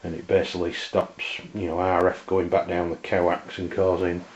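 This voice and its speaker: A man with an English accent and a very low voice talks through a hands-on review. His delivery is flat and unscripted, with plain wording and frequent hesitations. The sound is dull and lo-fi, as from a handheld camera's microphone. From England. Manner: hesitant, halting, plain-spoken. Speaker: amateur radio reviewer. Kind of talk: unscripted product review demonstration.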